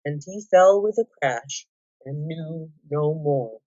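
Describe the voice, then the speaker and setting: A woman reading a story aloud with measured, deliberate, dramatic phrasing. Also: deep voice, expressive, dark tone. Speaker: volunteer storybook reader. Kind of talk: scripted story narration. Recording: echoey room, slightly dull sound.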